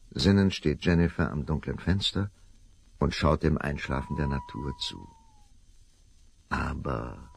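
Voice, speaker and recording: Male narrator, very deep, lively, faint vinyl hiss. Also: gravelly. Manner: measured, suspenseful. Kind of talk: scripted radio play narration. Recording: vinyl rip, faint noise.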